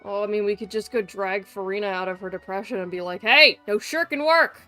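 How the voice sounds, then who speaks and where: A woman's voice: mid-range voice, brisk, bright, forceful tone, faint music behind. A young woman livestreaming with casual, irreverent game commentary, sarcastic and sweary.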